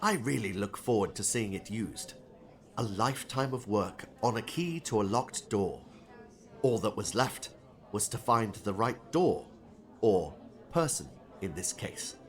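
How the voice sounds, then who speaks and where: An actor playing a proud, eager male inventor in an audiobook drama, with an earnest, expressive delivery. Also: lowish voice, unhurried. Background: soft background music.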